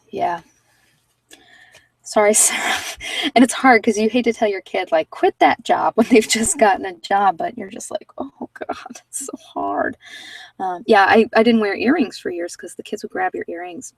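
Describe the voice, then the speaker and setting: A woman's voice: medium pitch, measured pace, vocal fry, dry recording, distant mic. A woman talking in a casual, conversational way as she hosts an informal tarot card reading. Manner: conversational, informal, anecdotal asides.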